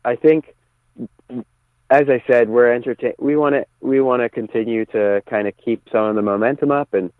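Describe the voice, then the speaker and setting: A man's voice: mid-range voice, fairly even intonation, moderate pace, dry recording. A man giving a casual, conversational radio interview over the phone, speaking a bit hesitantly. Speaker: band singer, phone-in guest. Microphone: phone call. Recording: tinny narrow phone line.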